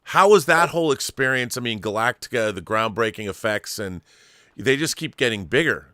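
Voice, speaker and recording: Bright, forceful male interviewer in dry sound. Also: mid-range voice, expressive, normal speed, no echo. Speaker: interviewer, host. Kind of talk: casual enthusiastic interview.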